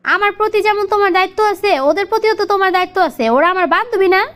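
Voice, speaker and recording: A woman's voice: very high-pitched, fast talker, a bit bright. A woman voicing a bossy, argumentative young wife in a comic cartoon, with a Bangladeshi accent and colloquial scolding delivery. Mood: indignant. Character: bossy, demanding young wife devoted to her girlfriends.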